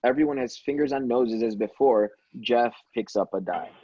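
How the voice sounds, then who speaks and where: A man narrating stage directions at a table read, in dull low-fidelity sound. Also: moderate pace. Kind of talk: reading screenplay directions aloud.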